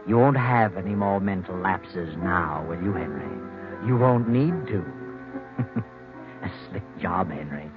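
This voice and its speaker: A deep-voiced, sinister storyteller narrates a vintage radio mystery. He is measured, knowing and ominous, over prominent music on a dull old recording. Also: animated. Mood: sardonic.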